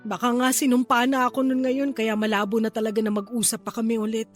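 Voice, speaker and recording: A woman's voice: a little high, level tone, fast talker, faint music behind, close to an everyday voice. A woman playing a remorseful, pregnant older sister in a radio drama, emotional and pleading, with a Filipino accent. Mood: downcast, ashamed. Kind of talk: Tagalog radio drama acting.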